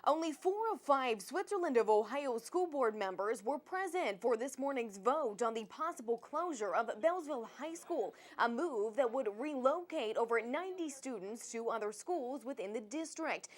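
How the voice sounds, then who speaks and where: A woman's voice: high-pitched, expressive, moderate pace, few pauses. A woman with an American accent reading scripted television news narration as a reporter.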